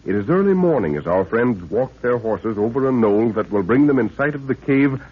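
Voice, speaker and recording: An actor serving as the grave, dramatic narrator of an old jungle mystery radio serial, reading scripted narration. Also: male voice, medium pitch, animated, brisk, slightly rough. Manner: portentous, dramatic. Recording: tinny, band-limited 1930s radio recording.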